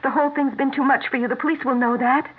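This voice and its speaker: A woman's voice: high-pitched, steady tone, fast talker, a little hiss or hum, lightly acted. An actress playing an earnest, worried woman in a 1970s radio drama, speaking intently. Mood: pleading, afraid. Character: earnest, worried woman taking danger seriously. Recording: muffled, narrow-band 1970s radio broadcast.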